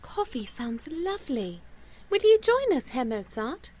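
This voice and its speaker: A woman's voice: high-pitched, sing-song, measured pace, a little mellow, full character voice. A woman playing a pert, innocent young girl in a period audio drama, cheeky and ingenuous.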